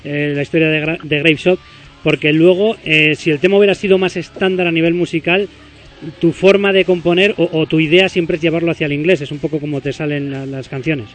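A man's voice: a little high, fairly even intonation, brisk, faint music behind. A man hosting a radio interview, asking long, winding questions.

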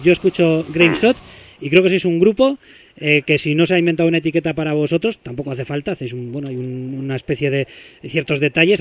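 Fast-talking man radio host. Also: fairly high pitch. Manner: talkative, long winding questions. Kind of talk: radio interview.

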